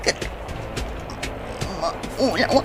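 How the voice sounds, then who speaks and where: A woman acting a frightened, desperate young fugitive in an Ilocano radio drama, breathless and whispery as she pleads. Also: extremely slow. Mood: desperate, tearful. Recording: radio drama with music underneath.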